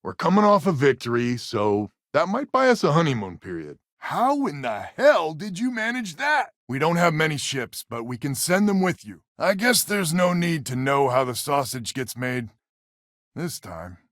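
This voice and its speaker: A man's voice: on the high side, big pitch swings, moderate pace, a bit bright, dry recording, studio quality. An actor playing a wry, weary male space colonist who heads a settler family in a video game, with a folksy, sardonic manner. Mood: wry, warm.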